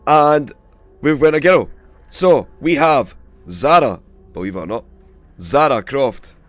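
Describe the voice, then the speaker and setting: A man with a cold, hoarse and gravelly, casually commentating a game he plays and swearing freely. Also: mid-range voice, expressive, takes it slowly, dry recording, soft background music. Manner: swears freely, rambling and chatty. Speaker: let's play host.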